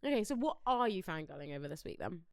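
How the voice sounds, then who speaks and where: A woman's voice: on the low side, big pitch swings, fast, no room sound, clean studio sound. A young British woman co-hosting a podcast, chatting informally about pop culture with plenty of "like". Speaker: podcast co-host.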